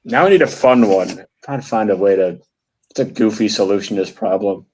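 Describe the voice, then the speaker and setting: A man's voice: on the low side, a little fast, a little mellow, breathy edge, low-quality recording. A man bantering casually as a podcast panelist, with a playful, irreverent manner.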